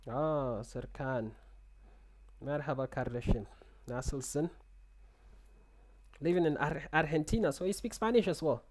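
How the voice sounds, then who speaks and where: A man's voice: a little high, a little slow, pauses often. A young man hosting a live trading stream in casual chat, reading and answering viewer comments with many restarts and repeated words, speaking into a headset in a small room.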